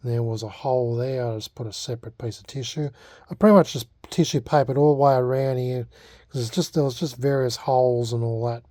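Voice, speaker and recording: A man's voice: mid-range voice, steady tone, fairly quick, warm tone, dry recording, clean studio sound. A man with an Australian accent talking casually and unscripted in a hobby restoration vlog, hesitant, laid-back and self-deprecating. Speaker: vlogger.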